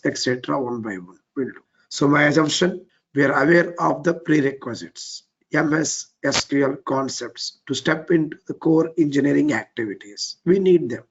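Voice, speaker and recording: A man with an Indian English accent teaching a live technical class. His voice is weak and low, and he keeps repeating his cues to move on step by step. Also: gravelly, breathy edge, muffled recording. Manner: repetitive, keeps saying "let's get started, step by step". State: voice a bit weak and low from overuse. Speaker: trainer.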